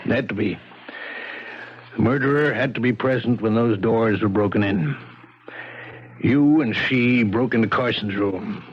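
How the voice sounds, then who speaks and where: An actor playing a modest, shrewd police detective in a 1970s radio drama, explaining things in a casual, matter-of-fact way. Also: male voice, on the low side, measured pace, pauses often, slightly rough. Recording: dull 1970s radio broadcast recording.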